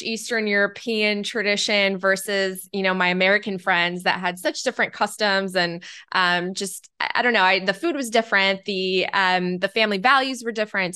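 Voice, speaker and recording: A woman's voice: medium pitch, pushed and bright, smooth. A woman from Chicago hosting her podcast, reading her intro and sponsor spots and chatting with a guest in an upbeat, enthusiastic way. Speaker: podcast host.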